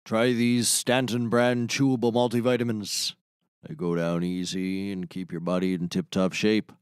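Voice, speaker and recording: Man acting low-voiced shady comedy sidekick. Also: flat delivery, moderate pace, smooth, no room sound, studio quality, natural voice. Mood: earnest pitching. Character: gruff male sidekick, shady ex-mercenary turned product pitchman. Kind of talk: scripted comedy audio drama.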